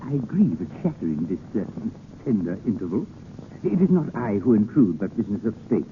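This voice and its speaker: A man's voice: on the low side, big pitch swings, a little fast, phone-quality sound. A man acting a sly, regal king with pompous, hesitant phrasing in a theatrical old-time radio drama. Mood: dry, imperious. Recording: thin, noisy old radio broadcast.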